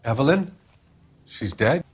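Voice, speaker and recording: A man's voice: mid-range voice, animated, slow, slight background noise. An American actor playing a frank, resigned liquor store man in a video game, speaking matter-of-factly. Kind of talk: video game dramatic acting.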